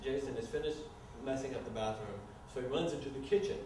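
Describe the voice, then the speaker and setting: A man narrating stage directions at a live staged reading in an informal, halting way. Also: medium pitch. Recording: echoey, noisy room from far off.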